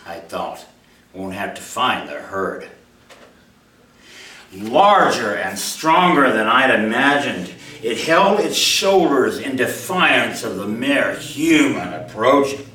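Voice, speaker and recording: A man's voice: medium pitch, bright, forceful tone. Echoey man with swooping delivery. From Mobile, Alabama, USA. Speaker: writer reading his own short story. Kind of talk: live story reading to an audience, expressive. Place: indoor room at a live reading event. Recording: roomy, echoey hall, distant microphone.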